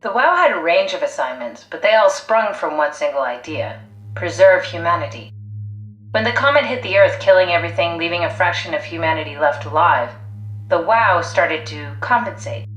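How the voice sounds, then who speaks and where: A woman's voice: fairly low pitch, animated, moderate pace, a bit bright, muffled recording, a little hiss or hum. A woman playing a pragmatic, driven, blunt scientist in a video game, analytical, matter-of-fact and persuasive. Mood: reflective. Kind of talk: video game voice acting, naturalistic dialogue. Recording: echoey sound. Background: faint music.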